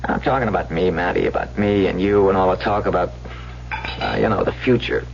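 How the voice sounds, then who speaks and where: An actor playing a small-time swindler pleading with his sweetheart in an old radio drama, speaking in halting, repetitive street slang. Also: low-pitched, vocal fry. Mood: self-pitying, troubled. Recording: dull, hissy vintage radio recording with hum.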